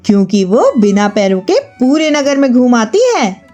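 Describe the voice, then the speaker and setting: A woman voicing a humble, wise village oil-presser in a children's story, calm and respectful. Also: fairly high pitch, a little slow, faint music behind. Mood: calm, assured.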